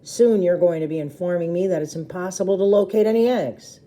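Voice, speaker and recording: A woman's voice: lowish voice, brisk, dark tone, lightly acted. A woman voicing a nasty, bullying man in a storybook, with a mocking, emphatic, sarcastic delivery. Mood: sneering, sarcastic.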